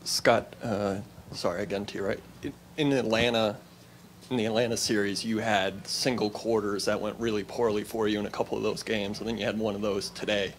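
A man's voice: lowish voice, little pitch movement, fairly quick. A man, a sports reporter asking questions at a press conference. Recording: noisy press room.

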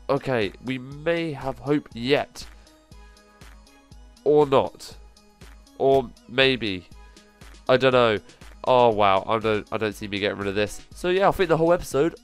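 A man with a cold, sounding nasal, giving excitable commentary as he plays through a survival game, full of quick exclamations. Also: medium pitch. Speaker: gaming channel host. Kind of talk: gameplay commentary.

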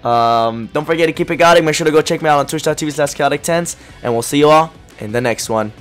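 Hoarse young man hyping a mobile game. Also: on the high side, fast talker, soft background music. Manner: excited, slangy, talks to viewers. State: hoarse, losing his voice. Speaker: gaming YouTuber. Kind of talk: casual gameplay commentary.

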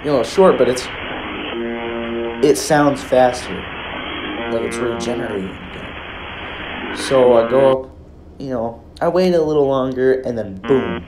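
A man's voice: medium pitch, animated, unhurried, long pauses. Young american guy rambling casually, kinda hesitant, echoey room w a bit of background noise. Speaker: video creator. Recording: roomy echo, faint background noise.

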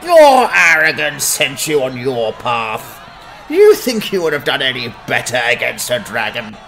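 A man's voice: mid-range voice, wildly swooping pitch, measured pace, creaky, fairly bright tone, faint music behind, full character voice. A man voicing a self-righteous village priest in a fantasy audiobook, declaiming and accusing with outrage. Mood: bitter blame. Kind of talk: dramatized fantasy audiobook acting.

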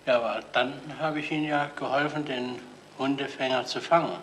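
An actor plays a sheepish Bavarian man in a radio drama, earnest and halting as he justifies himself. His voice is medium-pitched and bright, with faint hiss from the old recording. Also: steady tone, natural voice, poor audio. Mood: defensive. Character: sheepish, pleading suitor jealous of a dog. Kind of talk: radio crime drama acting. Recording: slightly hissy 1970s radio recording.

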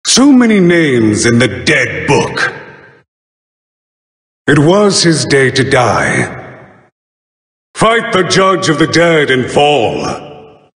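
A bookish, bird-headed god who judges the dead in a video game. He speaks slowly and formally, with sweeping melodic swings and dry wit. The sound rings with long reverb. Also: on the high side, fairly bright tone, exaggerated voice. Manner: grand, formal, theatrical delivery with dry wit. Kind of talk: video game character voice lines. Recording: roomy studio voice with long reverb.

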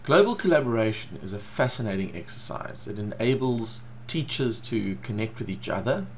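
A middle-aged man with a South African accent, an educator giving an earnest, steady talk to a webcam in a small home office. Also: fairly low pitch, normal speed, a little hiss or hum. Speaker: educator presenting. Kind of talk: scripted talk to camera.

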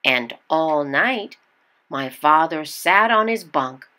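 A woman with a slightly low voice reads a children's story aloud. Her delivery is unhurried and deliberate, with expressive swings in pitch that give emphasis for young listeners. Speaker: storyteller reading a picture book aloud.

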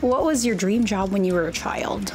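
A woman hosting an interview, reading scripted quick-fire questions in a brisk, upbeat way. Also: mid-range voice, darkish tone. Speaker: interview host. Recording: background music underneath.

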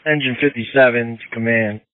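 A man's voice: medium pitch, steady tone, brisk, no echo. A male firefighter reporting to command over an emergency two-way radio. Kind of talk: emergency radio dispatch traffic. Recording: narrow dry two-way radio transmission.

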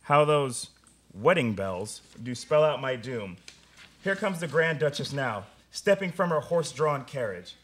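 A man's voice: mid-range voice, sing-song, a little slow, fairly bright tone, breathy edge, heavily acted. A young man playing a pompous, easily exasperated theater student in a comedic radio play, grandiose and theatrical. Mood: melodramatic. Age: young adult. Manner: grandiose, theatrical, whiny when thwarted. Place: small studio room, seated at a table with stage mic.

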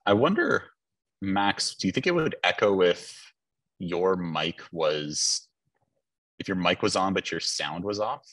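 A young man chatting casually before a webinar on a video call from a lecture hall, relaxed and chatty with many fillers. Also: low-pitched, measured pace. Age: young adult. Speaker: conference speaker. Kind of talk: casual pre-webinar chat. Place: front of a university lecture hall.

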